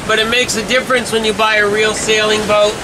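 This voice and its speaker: A woman's voice: medium pitch, level tone, brisk. A middle-aged woman captaining a sailboat, talking to camera in a blunt, chatty vlog style, swearing freely as she works the sails. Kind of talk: casual vlog narration. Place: outdoors on a sailboat deck at sea. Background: loud music and wind.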